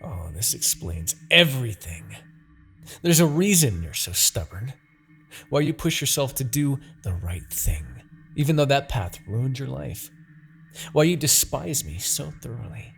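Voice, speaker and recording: Casting: male actor for a villainous demon king, gravelly and wildly swinging in pitch, seductive, taunting, theatrical fantasy roleplay. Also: big pitch swings, a bit bright, soft background music, exaggerated voice. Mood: amused, realizing. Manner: theatrical, taunting, drawn-out dramatic delivery. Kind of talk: scripted fantasy ASMR roleplay acting.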